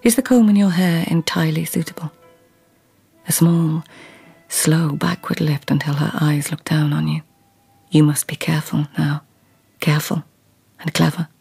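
An actress in a radio drama playing a devoted, anxious lady of the old Japanese court, with a breathy, urgent delivery. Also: low voice, fairly even intonation, moderate pace, dark tone, faint music behind, exaggerated voice. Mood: hushed, careful. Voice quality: husky, breathy.

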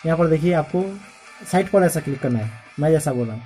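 A man's voice: on the high side, lively intonation, fast talker, mellow, soft background music. A man narrating a casual step-by-step phone tutorial in a repetitive, instructional manner. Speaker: tech tutorial host.

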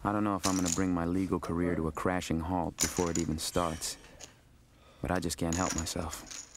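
An actor playing a young law student and former card player, narrating a film in a knowing, understated, street-wise way. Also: male voice, low-pitched, flat delivery, a little fast, noisy background. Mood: wry, confiding. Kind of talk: scripted voice-over narration. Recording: music under the voice.

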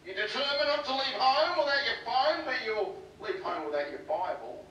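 A man's voice: extremely high pitch, lively intonation, moderate pace, sharp tone, lo-fi sound. A male pastor preaching a sermon, asking the congregation earnest rhetorical questions. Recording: distant echoey sermon audio with faint noise.

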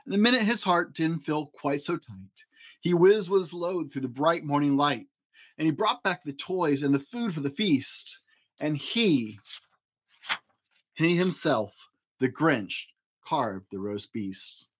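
A man in his forties giving an expressive, rhyming storybook narration for children. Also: a little high, takes it slowly. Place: small home room, indoors.

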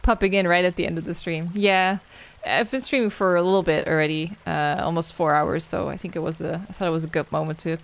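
A young woman chats loosely with her viewers at the end of a game stream. Her voice sits a little low for a woman, and she rambles, thanks people by name and fields questions from chat. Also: fairly even intonation, a little fast, gravelly, hardly any pauses. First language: Dutch.

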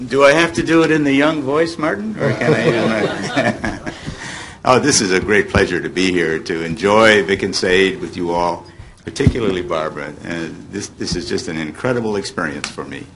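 An elderly American man in his seventies speaks as a panel guest at a table microphone. His voice is a bit low, and he reminisces in an informal, conversational way. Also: normal speed, background noise. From United States, Illinois. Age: 70s. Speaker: guest panelist, former child radio actor. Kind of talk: casual reminiscing. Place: hotel meeting room at a convention. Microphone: table microphone on a stand.

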